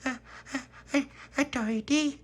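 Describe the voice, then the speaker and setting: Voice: sits high, fairly even intonation, measured pace, voice pushed up. A storyteller voicing a gleeful toddler girl who babbles in short bursts of baby talk. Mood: babbling.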